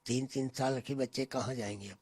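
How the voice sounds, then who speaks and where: A man's voice: medium pitch, fairly even intonation, a little fast, darkish tone, slightly rough, no room sound. An older man lamenting plaintively and repetitively as a parent in a news interview.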